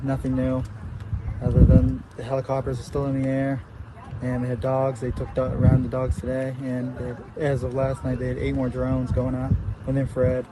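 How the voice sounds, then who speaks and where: A man's voice: medium pitch, monotone, moderate pace. A man giving spontaneous answers as a stepfather in a news interview. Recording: very noisy outdoor news recording.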